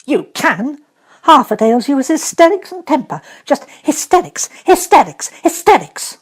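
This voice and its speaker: A woman's voice: high-pitched, big pitch swings, normal speed, voice pushed up. A woman doing a furious shrieking little girl. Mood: furious, scolding. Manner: shouting, stamping commands. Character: furious bossy little girl, savage temper.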